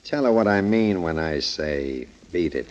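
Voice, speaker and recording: An actor playing a cocky, mocking gangster in a 1940s radio crime drama, full of slangy wisecracks. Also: male voice, sits low, animated, a little slow. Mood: smug. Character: cocky, sneering gangster, a fight-fixing gambler. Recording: muffled old 1940s radio recording.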